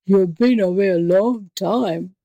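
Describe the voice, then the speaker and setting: A woman's voice: medium pitch, unhurried, mellow, no echo, close to an everyday voice. A growling male tiger out of a fairy tale, performed by a woman. The savage beast is haughty and bullying, pressing each word with exasperated force in a dry, close recording. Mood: menacing.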